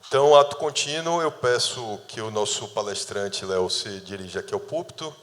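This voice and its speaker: Man, low voice, formal effusive moderator, reverberant hall. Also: expressive, moderate pace, fairly bright tone. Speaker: event moderator. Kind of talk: formal ceremonial speech.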